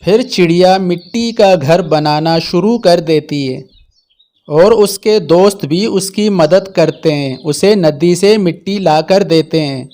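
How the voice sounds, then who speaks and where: A man's voice: sits high, takes it slowly, a little mellow. A man reading scripted narration for a children's moral story.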